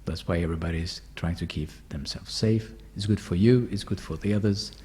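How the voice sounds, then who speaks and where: A man's voice: low-pitched, brisk, darkish tone. A man hosting a casual interview, asking loose questions full of fillers and restarts. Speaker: host.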